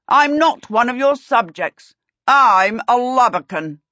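A woman's voice: on the high side, animated, slow, sharp tone, no echo, dull audio, voice pushed up, heavily acted. A woman voicing a haughty, menacing prince in a storybook, imperious and theatrical. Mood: demanding. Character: haughty, menacing villain prince, male, dramatic and disgusted.

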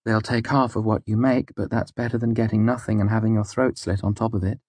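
A male narrator plays a worldly storybook woman, lifted well up in pitch and husky. She is matter-of-fact and arch, scolding hard but caring underneath. The sound is close and dry. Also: level tone, fairly quick, a little mellow, dry recording, lightly acted. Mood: frank, caring. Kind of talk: audiobook character dialogue.

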